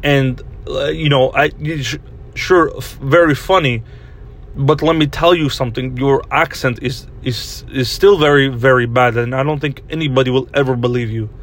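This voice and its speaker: A man's voice: medium pitch, fairly even intonation, moderate pace, close to an everyday voice. A man putting on a blunt Russian-accented interviewer. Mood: deadpan mocking. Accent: put-on Russian. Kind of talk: comedy bit on a podcast.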